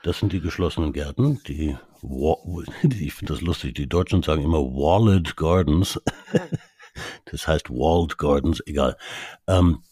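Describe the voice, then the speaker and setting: Low, husky man. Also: measured pace, darkish tone, dry recording, clean studio sound. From Canada. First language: English. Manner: hesitant, many restarts and fillers. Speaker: media expert guest. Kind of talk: casual expert conversation.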